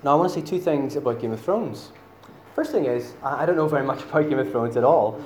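A man's voice: medium pitch, animated, fast talker, a little mellow, echoey room, a little hiss or hum. A man from Northern Ireland with a Northern Irish accent, preaching a sermon in a chatty, self-deprecating way with many fillers. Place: church hall.

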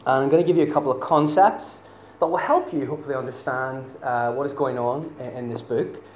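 A man's voice: mid-range voice, fairly quick, a little mellow, roomy sound, slight background noise. A man from Northern Ireland with a Northern Irish accent, preaching a sermon in a chatty, self-deprecating way with many fillers. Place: church hall.

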